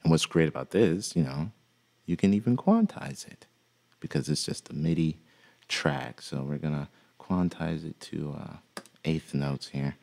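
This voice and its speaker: A man with a deep voice teaching music production in a relaxed, folksy way, with many "uh" fillers. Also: unhurried, gravelly. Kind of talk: casual software tutorial.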